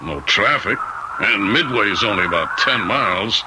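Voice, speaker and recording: A cocky veteran highway cop in an old radio play. His voice is on the low side, blunt and teasing, heard through heavy noise and vehicle ambience. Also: animated, unhurried. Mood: laconic. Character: cocky, dismissive veteran male highway patrolman. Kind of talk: old-time radio drama acting. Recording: noisy old radio drama recording, vehicle sounds.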